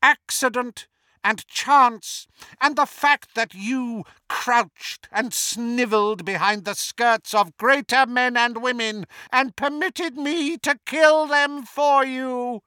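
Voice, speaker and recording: A man voicing a furious, snake-like dark wizard villain in an audiobook, taunting and theatrical in his menace. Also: very high voice, takes it slowly, clear, resonant tone, no room sound, pitched above the performer's own voice, heavily acted. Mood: enraged.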